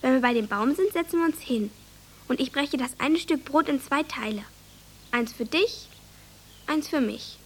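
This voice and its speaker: A very high, childlike voice playing a bossy little village girl in a radio play. She is earnest and eager, forever instructing, with a little noise behind her. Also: moderate pace. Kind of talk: German radio drama acting.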